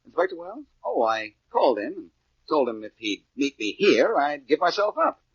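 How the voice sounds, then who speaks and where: An actor playing a wry, self-assured adventurer, cocky and commanding, in a 1940s radio detective drama. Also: male voice, on the high side, very animated, normal speed, slightly rough, no room sound. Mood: amused, relaxed. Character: wry, self-assured male adventurer, tough and quick-witted. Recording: dull, lo-fi old radio recording.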